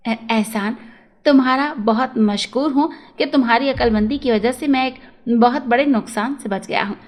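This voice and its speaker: Woman as an affectionate, high-voiced male shopkeeper. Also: normal speed, natural voice. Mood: grateful. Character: grateful, affectionate male shopkeeper, employer of boys.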